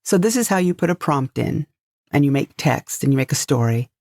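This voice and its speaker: A woman with a creaky, fry-laden voice co-hosts a podcast. She talks in a casual, conversational style. The recording is dry and free of room sound. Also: low-pitched, expressive, fairly quick, darkish tone, dry recording. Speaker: podcast co-host.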